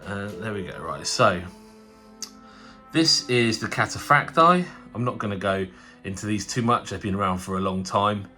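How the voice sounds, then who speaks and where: A man with a cold talks his way through an unboxing in a low, nasal voice. His chat is loose and rambling, full of fillers and restarts. Faint music plays underneath. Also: normal speed, sharp tone. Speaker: tabletop wargaming channel host. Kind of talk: casual unboxing commentary.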